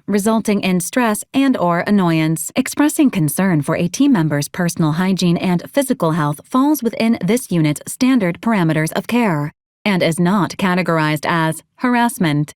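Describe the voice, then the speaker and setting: A woman playing a video game support robot, formal and literal, speaking in measured, politely officious protocol announcements. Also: medium pitch, darkish tone, no echo, studio quality, natural voice. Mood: clinical, matter-of-fact. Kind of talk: video game character voice lines.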